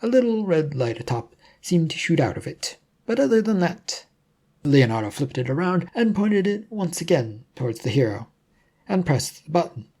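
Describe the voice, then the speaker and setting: Fiction narrator, middle pitch, mellow and husky, reading a scripted audiobook story. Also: very animated, moderate pace.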